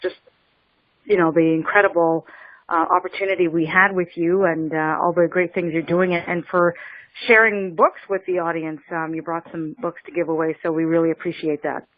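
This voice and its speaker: A woman hosting a radio interview show who talks enthusiastically and warmly praises her guest. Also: lowish voice, fairly even intonation, moderate pace, no echo. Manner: enthusiastic, many fillers and restarts. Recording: tinny phone-line sound, dry.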